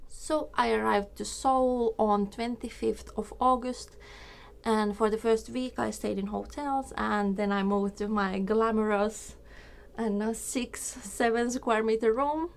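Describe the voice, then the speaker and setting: A woman's voice: fairly high pitch, fairly even intonation, measured pace, vocal fry. A young woman in her twenties from Finland, with a Finnish accent, telling a personal story casually as a vlogger. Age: 20s. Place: small room indoors.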